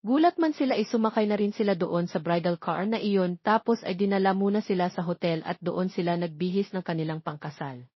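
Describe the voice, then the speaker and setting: A woman's voice: on the low side, fairly quick, no echo, muffled recording. A woman reading a scripted romance story as its narrator.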